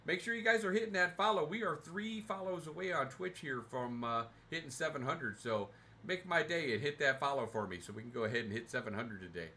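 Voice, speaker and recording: A man streaming a game from his recliner at home, reading out viewer comments and answering them in a relaxed, rambling way with lots of fillers and restarts. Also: on the high side, fairly quick, slight background noise. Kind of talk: casual livestream chat, answering viewer comments. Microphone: desk mic, sometimes too far from it.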